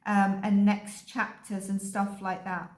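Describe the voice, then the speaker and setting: A woman giving a casual psychic reading, rambling and filling her pauses with "um" and "like". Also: mid-range voice, monotone. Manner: rambling, with frequent ums and likes. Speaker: psychic energy reader. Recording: echoey room.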